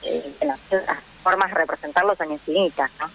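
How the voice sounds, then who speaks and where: A woman with an Argentine Rioplatense accent, explaining things in an animated, conversational way as a guest in a radio interview. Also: sits low, a little fast, breathy edge. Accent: Argentine Rioplatense Spanish. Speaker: interview guest, actress and theatre teacher.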